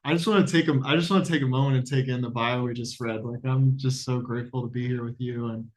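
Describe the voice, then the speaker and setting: This is an earnest man hosting an interview podcast. He reads his guest's introduction and then talks at a relaxed, conversational pace, restarting his sentences as he searches for words. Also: mid-range voice, quick pace. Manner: earnest, hesitant, with frequent restarts. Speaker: podcast host. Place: lives in a Latin American country.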